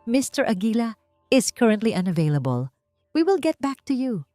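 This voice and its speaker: Woman doing a chilly receptionist voice for an audiobook, high and clipped, soft music under it. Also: very animated, normal speed, no echo. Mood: cold, formal. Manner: crisp, clipped phone politeness. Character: cold professional female corporate assistant.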